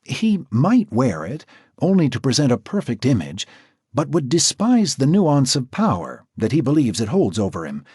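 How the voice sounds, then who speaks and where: A man reading an audiobook, voicing a calm, clinical woman doctor in a measured, analytical way. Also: medium pitch, husky, dry recording, studio quality, voice pushed up.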